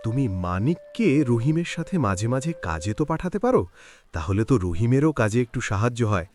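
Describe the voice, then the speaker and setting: A man's voice: medium pitch, big pitch swings, fairly quick, darkish tone, natural voice. A man voicing a middle-aged village father in a cartoon story, plain-spoken and caring toward his sons. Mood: caring, matter-of-fact.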